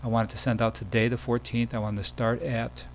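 Male demo presenter, casual screencast tutorial delivery, mid-pitched voice, slight hum and noise in the recording. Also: little pitch movement, fast.

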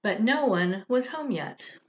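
Unhurried, expressive woman storyteller. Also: mid-range voice, highs cut off. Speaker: director of religious education, storyteller. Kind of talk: children's story read aloud.

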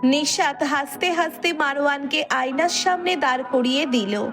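A woman narrates a scripted story in a high-pitched voice. Faint music runs beneath her reading. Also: moderate pace, a bit bright.

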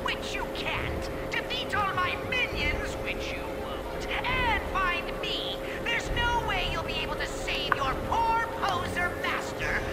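Video game villain: a tiny tyrant sorcerer, very high strained voice, wildly swooping, bombastic, over loud music and effects. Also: male voice, lively intonation, takes it slowly, few pauses, full character voice. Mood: gloating. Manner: bombastic, taunting, theatrical. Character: short bald evil sorcerer villain, megalomaniac and petulant.

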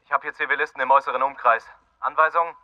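Tense soldier, very sharp voice. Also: male voice, mid-range voice, fairly even intonation, racing, dull audio. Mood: commanding, tense. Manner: barking, military, clipped. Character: tense armed young soldier on guard. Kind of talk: video game dramatic acting, German dub.

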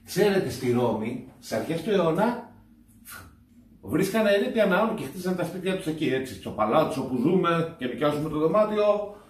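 A man's voice: fairly high pitch, very animated, recorded from a distance. A man in his thirties giving a casual house tour, explaining things to viewers. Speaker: father in a family travel vlog. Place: small stone-walled holiday apartment. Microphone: camera mic from a few steps away. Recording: roomy echo, off-mic, faint noise.

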